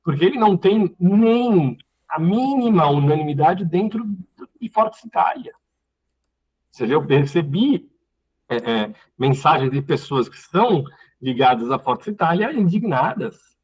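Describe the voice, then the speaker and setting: Casting: Brazilian male panel guest, rough husky tone, thinks aloud with repeated words, slightly muffled online audio. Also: on the high side, big pitch swings, a little mellow. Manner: halting, repeats words while searching for phrasing. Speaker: panel guest, community representative. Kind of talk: unscripted political commentary in an online panel. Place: online live call.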